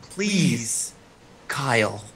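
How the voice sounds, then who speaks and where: A man voicing a terrified, broken young man, pleading haltingly in a horror story. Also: mid-range voice, very animated, a bit bright, slightly rough, heavily acted. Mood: pleading, hopeless. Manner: halting, pleading, drawn-out words. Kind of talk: dramatic horror story reading.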